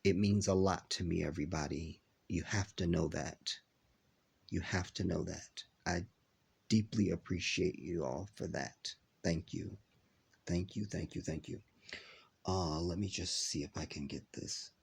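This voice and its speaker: Congested male vlogger, deep husky voice, slow rambling delivery. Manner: rambling with many ums, drawn-out words. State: congested from a cold. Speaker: video reaction host. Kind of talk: casual live reaction vlog.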